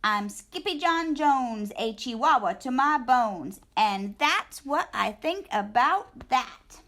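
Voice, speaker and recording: Woman playing a young male kitten, boastful, sing-song, mock-Spanish flair, raised bright pitch, loud and lively. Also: slow pace, full character voice. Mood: defiant.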